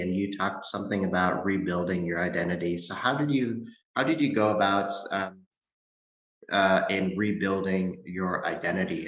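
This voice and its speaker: Low-voiced man in an echoey room. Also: bright, forceful tone. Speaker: podcast host. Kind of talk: conversational interviewing. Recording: echoey, roomy sound.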